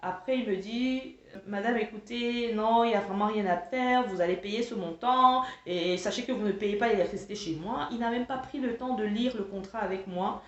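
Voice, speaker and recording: A woman's voice: medium pitch, normal speed, hardly any pauses. A woman telling a personal story to listeners in an exasperated, emphatic way, often repeating words as she searches for phrasing. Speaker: online coach telling a personal anecdote. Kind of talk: spoken storytelling to camera, recounting dialogue. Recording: echoey room, slightly dull lo-fi sound.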